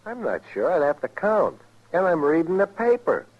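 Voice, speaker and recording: An actor playing a distracted, mildly exasperated young American husband in an old radio advert sketch. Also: male voice, sits high, very animated, normal speed. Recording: dull vintage radio recording.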